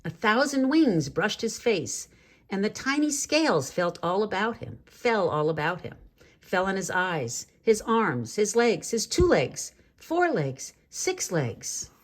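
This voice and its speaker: A woman reading a children's story aloud in an expressive, measured way, drawing out key words for drama. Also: mid-range voice. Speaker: storybook reader.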